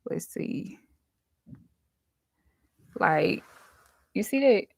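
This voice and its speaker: A woman's voice: mid-range voice, animated, extremely slow, creaky, no room sound. A woman chatting casually and informally to her viewers as the host, telling stories in colloquial everyday speech. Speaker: host, dream interpreter.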